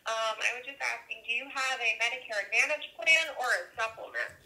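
A woman's voice: a little high, a little fast, sharp tone. A woman working as a call centre agent, polite and businesslike, heard through a telephone speaker. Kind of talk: scripted customer service phone talk. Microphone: heard through a phone's speaker. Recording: phone call on speaker, faint noise.

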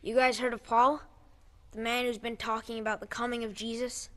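A woman plays an earnest young scavenger from the tunnels in an audio drama. The voice is fairly high, pleading and sincere. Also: level tone, brisk, lightly acted. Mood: earnest, persuasive. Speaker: actor.

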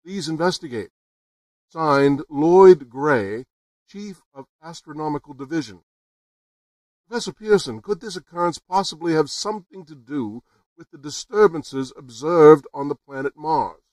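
A man's voice: a little high, lively intonation, unhurried, a little mellow, no echo. Man acting earnest radio reporter, slightly distant. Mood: formal, announcing. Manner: formal, announcing. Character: earnest male radio news reporter on location. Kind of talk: 1930s radio drama acting, live broadcast commentary.

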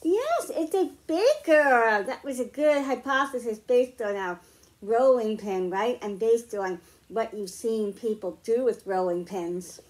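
A middle-aged woman reading picture books aloud to young children, explaining slowly and clearly at home. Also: a little high, expressive, darkish tone. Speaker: children's librarian. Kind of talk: storytime read-aloud for kids.

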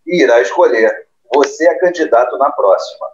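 A man's voice: mid-range voice, lively intonation, moderate pace, a little hiss or hum, close to an everyday voice. A man plays a scheming political operator in an audio drama. His wording is formal, his intonation very varied, and the sound is a bit dull. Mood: persuasive.

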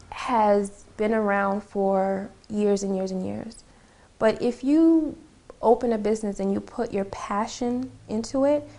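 A woman's voice: mid-range voice, a little mellow. A woman in her thirties, a bookstore owner, talking earnestly in an interview, with frequent "um" and "you know". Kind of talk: on-camera interview. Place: small indoor bookstore.

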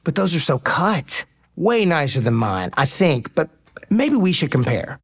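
A man's voice: on the high side, sing-song, unhurried, slightly rough, heavily acted. An actor playing a cocky, teasing male pro baseball player in a romantic comedy audio drama. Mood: flirtatious, playful.

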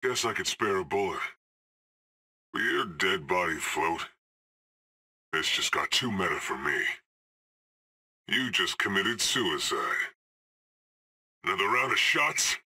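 A man's voice: low voice, lively intonation, slow, pauses often, sharp tone, slightly rough, exaggerated voice. An actor playing a cocky, cool male hired assassin in a video game, trading dry, sardonic one-liners.

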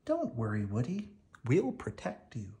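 A man's voice: wildly swooping pitch, measured pace, vocal fry, warm tone, exaggerated voice. A man voicing small, eager, caring young mice in a storybook, exclaiming with wonder. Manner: high-pitched, wondering, exclaiming.

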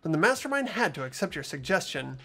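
A man's voice: fairly high pitch, sing-song, fast, vocal fry, bright, forceful tone, husky, soft background music, full character voice. A man voicing an earnest, anxious teenage boy in a fan-voiced visual novel playthrough. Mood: amazed, unsure.

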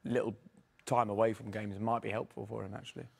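A man presenting a football studio show, putting brisk prompting questions to his pundits. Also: fairly low pitch, flat delivery, gravelly, a little mellow, breathy edge. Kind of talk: leading a pundit discussion.

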